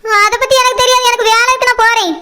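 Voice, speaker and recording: A woman voicing a stubborn, snappy village woman in a cartoon comedy, with a Kanyakumari Tamil accent and a pitched-up voice. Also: fairly even intonation, quick pace, bright, forceful tone. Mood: irritated, dismissive. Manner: snappy, dismissive, argumentative. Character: brusque, stubborn village woman, fiercely protective older sister. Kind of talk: animated village comedy dialogue.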